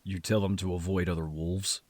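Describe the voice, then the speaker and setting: A man playing a protective werewolf brother in an audio roleplay, gruff, blunt and exasperated but caring. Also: deep voice, level tone, moderate pace, a little mellow, natural voice.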